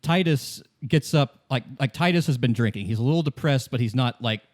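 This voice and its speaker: A man's voice: medium pitch, brisk. A man running a tabletop game, improvising narration in a casual second-person storytelling way. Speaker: game master.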